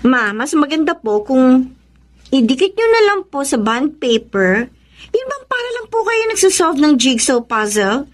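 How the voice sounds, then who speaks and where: A woman playing a supportive, sensible grown daughter in a radio drama, expressive and earnest. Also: sits high, a little slow. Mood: helpful. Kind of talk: Tagalog radio drama acting.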